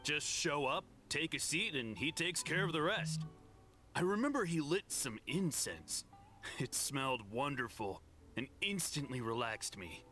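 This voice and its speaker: A man's voice: fairly high pitch, big pitch swings, measured pace, bright, forceful tone, soft background music, heavily acted. A man voicing a snobbish, zealous devotee of a spiritual counselor in a video game. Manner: haughty, zealous.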